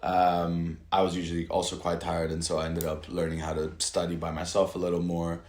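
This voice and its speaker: A man from Italy with a very deep voice and an even, level delivery talks casually about stunt work. He hesitates often and restarts his sentences. The sound is echoey, as if recorded in a bare room at home. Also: a little fast. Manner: hesitant, lots of fillers and restarts. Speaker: stunt performer, podcast guest. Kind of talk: casual interview chat.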